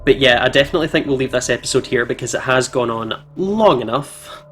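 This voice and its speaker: A man's voice: mid-range voice, lively intonation, brisk, fairly bright tone, soft background music. A man hosting a visual novel playthrough, reading the narration and adding casual commentary. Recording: clear siren and traffic noise behind. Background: game ambience of sirens, vehicles.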